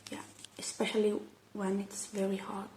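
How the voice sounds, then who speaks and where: A woman doing a soft ASMR roleplay, murmuring and whispering step by step in a hushed, hesitant way. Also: medium pitch, completely flat delivery, normal speed, roomy sound, slight background noise. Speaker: ASMR roleplay creator playing a robot repair technician.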